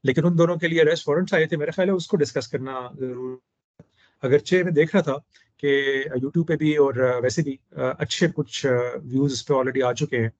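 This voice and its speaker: A man with a hoarse throat hosting a casual discussion, speaking in a measured, formal way. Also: on the high side, fairly even intonation, no room sound. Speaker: host. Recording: dull, dry lo-fi audio.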